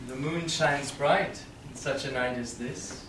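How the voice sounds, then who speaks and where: A man's voice: mid-range voice, measured pace, lightly acted. A man playing a romantic, poetic young lover in a staged Shakespeare reading. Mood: tender, lyrical. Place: small theatre stage, far audience camera. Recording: very echoey stage, distant camera, faint noise.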